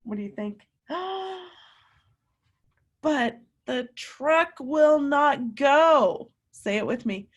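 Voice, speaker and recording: A woman teacher reading a picture book aloud to young children, animated and emphatic, often putting questions to her listeners. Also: high-pitched. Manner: animated, emphatic, drawn-out words, coaxing young children along.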